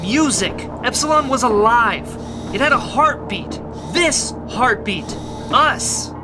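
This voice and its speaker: An actress playing a determined young rebel leader, earnest and defiant. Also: female voice, a little high, animated, slow. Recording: music score behind.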